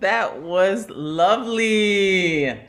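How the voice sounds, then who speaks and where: A woman hosts an online open mic night. She introduces each performer in an effusive, exclamatory way, at an ordinary pitch. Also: animated, very slow, a bit bright. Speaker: host.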